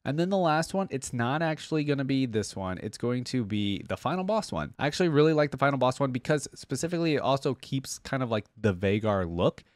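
A man's voice: medium pitch, big pitch swings, fairly quick, hardly any pauses, dry recording. Chatty young man, dry close-miked sound. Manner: chatty, informal, thinking aloud. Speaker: reaction video host. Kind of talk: casual reaction commentary. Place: indoor room at a desk. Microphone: close desk microphone on boom arm.

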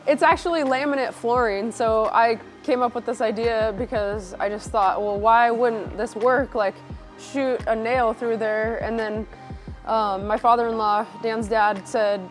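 Woman in her thirties, slightly high voice, relaxed practical DIY talk on a lapel mic, soft music behind. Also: a little slow. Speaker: co-host. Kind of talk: casual gym tour. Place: indoor home gym.

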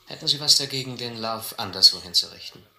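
An actor playing a seasoned, restless South Seas captain, measured and earnest, in a German radio play. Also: male voice, medium pitch, lively intonation, sharp tone. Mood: firm, calm. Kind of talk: German radio play narration, literary and scripted. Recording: roomy recording with music behind.

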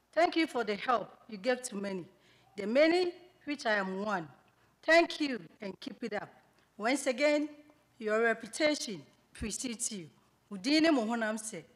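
A woman from Ghana, Twi her first language, delivers a prepared speech at a podium microphone. Her voice sits a little high, and she reads slowly and earnestly with careful pauses. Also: rough recording. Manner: measured, earnest reading with deliberate pauses. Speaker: graduating student speaker. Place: banquet hall at a graduation celebration. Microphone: podium microphone on a stand, filmed from a far camera.

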